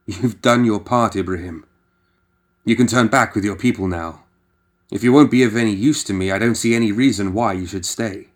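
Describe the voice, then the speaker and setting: Male narrator as a cocky treasure hunter, dismissive and declaiming, low voice. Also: moderate pace, close to an everyday voice.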